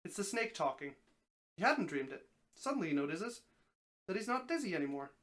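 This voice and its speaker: A streamer reading a story aloud as a dramatic narration, expressive, now and then stumbling over a word. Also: medium pitch, fairly quick.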